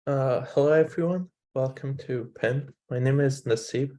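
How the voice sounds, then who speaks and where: Mellow young man panelist. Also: mid-range voice, normal speed. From Afghanistan. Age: 20s. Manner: plain, brief self-introduction. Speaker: student panelist.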